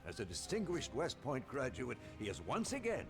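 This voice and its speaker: An actor playing a hawkish, ambitious American admiral in a game cutscene, formal and emphatic. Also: male voice, mid-range voice, wildly swooping pitch, normal speed. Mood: proud, stern. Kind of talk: dramatic game cutscene acting. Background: music.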